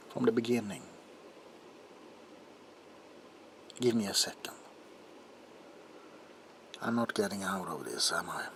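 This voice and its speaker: Guy doing a troubled desperate doctor, asmr roleplay, real slow n hushed, halting, some noise in the recording. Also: lowish voice, expressive, heavily acted. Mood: weary, resigned. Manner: halting, hushed, dropping into whispers, with sighs. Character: troubled doctor protesting innocence, desperate and haunted. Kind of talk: ASMR roleplay, dramatic monologue. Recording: audible background noise.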